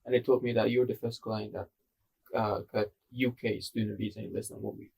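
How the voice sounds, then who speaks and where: A man's voice: lowish voice, little pitch movement, brisk, gravelly, darkish tone, breathy edge, poor audio. A young man from Iran, speaking English as a second language, chatting casually and hesitantly with many fillers and self-corrections. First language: not English. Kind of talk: casual chat.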